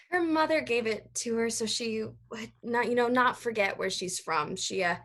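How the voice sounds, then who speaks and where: A woman playing a guarded, conflicted young college student in a staged reading, halting and sighing as the character deflects. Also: a little high, bright, forceful tone. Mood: hesitant, explaining. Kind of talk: live staged reading of a play over video call. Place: online video call staged reading.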